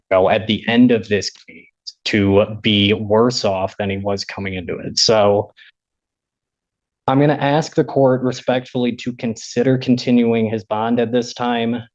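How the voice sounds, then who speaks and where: Low-voiced American male defense attorney, muffled sound. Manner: measured, formal, respectful. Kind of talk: courtroom argument to a judge. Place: indoor courtroom. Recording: muffled courtroom audio.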